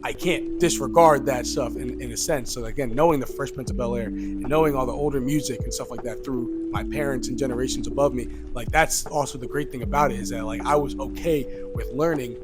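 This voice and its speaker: A young man in his twenties delivers a casual, rambling rant to camera, with "like" in nearly every sentence. He gets loud and exasperated when worked up. Music plays clearly behind him. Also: medium pitch, fairly quick, hardly any pauses. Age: 20s. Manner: rambling, filler-heavy, says "like" constantly. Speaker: youth coach and vlogger.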